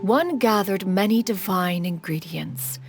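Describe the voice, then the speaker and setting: A woman voicing a proud ancient immortal in a video game, telling a story in a formal, archaic, measured and haughty manner. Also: medium pitch, music in the background, close to an everyday voice. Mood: reflective, dignified. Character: proud, stern ancient female immortal bird adeptus, secretly tender.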